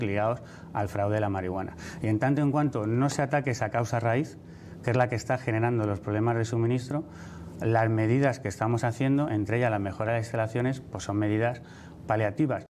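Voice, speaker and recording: Deliberate male corporate spokesman. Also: mid-range voice, a little mellow, slight background noise. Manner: technical, deliberate, with hesitations. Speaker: company spokesman. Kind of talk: explanatory statement to press.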